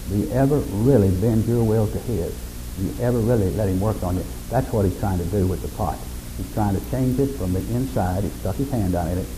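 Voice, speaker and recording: A man preaching a sermon in a folksy, unhurried way, with self-deprecating humor and a monotonous, mellow voice. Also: on the low side, background noise. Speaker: pastor.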